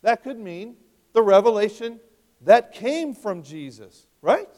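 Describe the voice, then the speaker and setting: A man's voice: high-pitched, very animated, measured pace. A man in his fifties preaching an expository sermon, talking conversationally and asking his listeners rhetorical questions. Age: 50s. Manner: conversational, rhetorical questions, wide swings in loudness. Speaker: pastor. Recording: long hall reverb.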